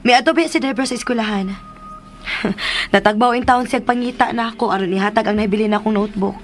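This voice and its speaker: An actress playing a gentle, patient teenage girl and dutiful daughter, reasoning softly in a radio drama. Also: on the high side, quick pace, a little hiss or hum, natural voice. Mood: firm.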